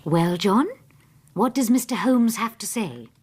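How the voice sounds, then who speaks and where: A doctor's perceptive English wife in a radio play. Her medium-pitched voice is breathy and very expressive, teasing him with affection. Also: measured pace. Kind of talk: radio drama dialogue.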